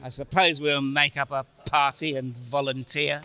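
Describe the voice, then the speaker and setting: A man's voice: medium pitch, little pitch movement, moderate pace, pushed and bright, clean tone. Piercing, callous businessman played by a man. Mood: callous amusement. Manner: blustering, chuckling, theatrical. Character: callous, jocular Victorian businessman gossiping. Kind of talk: stage radio play acting.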